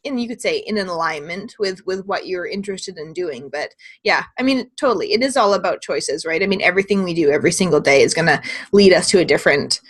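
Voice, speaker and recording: A woman hosting a video interview as a creativity coach, upbeat, laughing often and ending her points with a quick "right?". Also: on the low side, animated, breathy edge.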